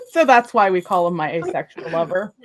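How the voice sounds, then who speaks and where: A woman, Spanish her first language, chatting casually on a voice call, talkative and fond of arguing, with quick laughs. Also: fairly low pitch, animated. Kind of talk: casual voice chat.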